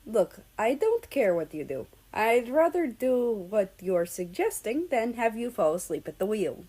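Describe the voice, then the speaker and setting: A woman voicing an easygoing, teasing male travel companion in a story reading, relaxed and thoughtful in manner. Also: a little high, a little slow, slightly rough, exaggerated voice.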